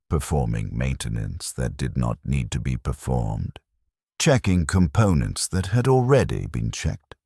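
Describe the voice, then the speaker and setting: A man with an extremely low, husky voice delivers dramatic scripted military fiction. His phrasing is clipped and grave, yet the pitch moves expressively. The recording is close and dry. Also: a little mellow, dry recording. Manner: clipped, measured, grave delivery in short declarative sentences. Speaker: narrator of a first-person war story.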